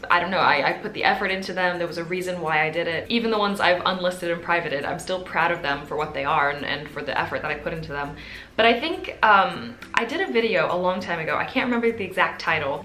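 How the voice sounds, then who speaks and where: A woman in her twenties with a soft, quiet voice, chatting casually to camera as a video host. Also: on the low side. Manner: soft-spoken, chatty with fillers. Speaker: YouTuber. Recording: roomy echo.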